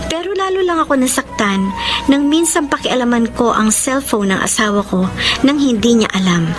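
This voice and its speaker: An actress playing a hurt, betrayed wife in an emotional Filipino radio drama, speaking plaintively with a Filipino accent. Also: female voice, high voice, lively intonation, moderate pace. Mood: sorrowful narration. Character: Angel, hurt betrayed wife telling her story. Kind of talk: Filipino radio drama acting and letter narration. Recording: music bed behind voice.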